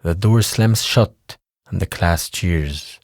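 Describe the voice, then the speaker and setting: A man's voice: low-pitched, slow pace, no room sound, studio quality. A man reading scripted scene narration for an audio drama.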